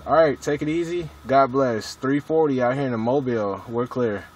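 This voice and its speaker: A man's voice: mid-range voice, normal speed. A man chatting casually over two-way radio from a vehicle, with slangy, swearing, jargon-heavy talk. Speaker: CB radio hobbyist filming his radio chat. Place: inside a vehicle at a truck stop. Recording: noisy mobile recording.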